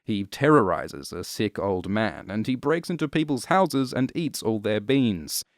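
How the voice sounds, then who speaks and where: A man narrating a scripted explainer in a dry, deadpan way, with casual asides. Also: on the low side, a little slow, dry recording. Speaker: host and narrator of explainer videos. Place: close, dry voice-over recording.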